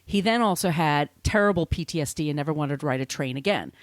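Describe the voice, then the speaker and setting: A woman's voice: low-pitched, fast talker, dry recording. A woman hosting a podcast, telling a researched story in a conversational, irreverent way with casual swearing. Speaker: podcast host.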